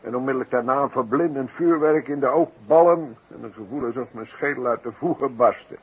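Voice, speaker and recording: An actor playing a flustered, droll eyewitness in a 1960s radio play, halting, repeating words and exaggerating floridly. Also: male voice, mid-range voice, breathy edge. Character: flustered, droll male eyewitness recounting his mishap. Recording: muffled, noisy vintage radio recording.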